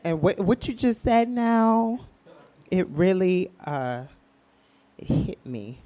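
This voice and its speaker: An actor playing a timid, wistful radio show caller who speaks in a hesitant, halting way. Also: male voice, high-pitched, sing-song, warm tone, heavily acted. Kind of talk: radio drama acting.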